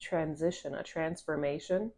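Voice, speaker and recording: A woman's voice: low voice, fairly even intonation, normal speed, a little mellow. A woman with a stuffy, nasal voice from allergies, giving a casual channeled tarot card reading to a phone camera, rambling with restarts and a lot of "like". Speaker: tarot reader.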